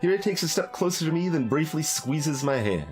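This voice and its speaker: Male streamer, lively swooping intonation, story narration, casual asides, soft music behind. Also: on the high side, a little fast. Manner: expressive, reading aloud with off-the-cuff asides. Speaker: streamer doing a blind voiced playthrough. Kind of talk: game narration with casual commentary.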